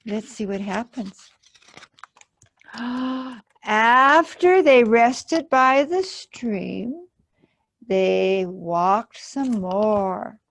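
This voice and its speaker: A woman's voice: on the high side, expressive, drawn-out words, long pauses. A woman hosting a children's storytime, reading a picture book aloud expressively and explaining it simply to young listeners. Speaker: library storytime host and reader.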